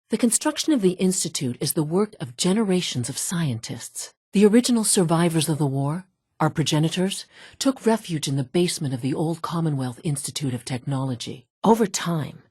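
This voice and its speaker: A poised woman scientist from a video game, with a notably low voice and a husky edge. She speaks in measured, formal sentences and stays matter-of-fact, like someone used to running a facility. The sound is dry and close, with no room around it. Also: mellow, dry recording. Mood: composed, informative. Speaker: video game character, facilities division head. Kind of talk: scripted video game dialogue.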